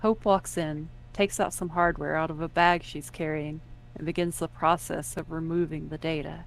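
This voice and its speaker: A woman's voice: low voice, fairly even intonation, moderate pace, gravelly, low-quality recording. A woman reading scripted second-person story narration as the narrator. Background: music under the voice.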